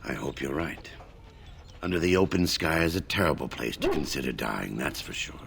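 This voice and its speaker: An actor playing a sour, worried dwarf soldier with a grumbling manner in a fantasy video game. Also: male voice, rumbling low, lively intonation, moderate pace, creaky, fairly bright tone, a little hiss or hum, full character voice.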